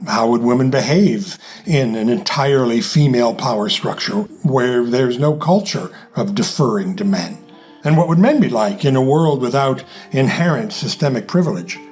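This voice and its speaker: A man's voice: medium pitch, animated, normal speed, a little mellow. A man, a playwright, reading a scripted introduction to his play. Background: plucked guitar music.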